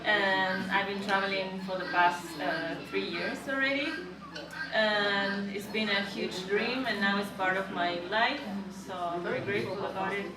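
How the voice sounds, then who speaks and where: A woman's voice: mid-range voice, fairly even intonation, a little slow. Echoey Argentine woman in casual interview. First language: Spanish. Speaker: interview guest, singer. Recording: echoey, distant mic, background chatter.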